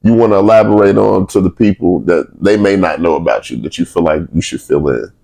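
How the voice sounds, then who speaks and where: A man with a deep voice hosting a podcast interview, relaxed and upbeat, laughing often. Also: fairly quick, vocal fry, low-quality recording. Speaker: podcast host. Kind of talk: casual interview chat.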